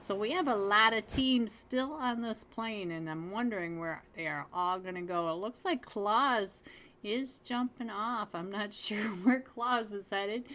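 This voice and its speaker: A woman casting an online esports match alone, chatty and giggly, getting excited at big plays. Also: medium pitch, slight background noise. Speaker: esports caster. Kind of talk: solo live game commentary.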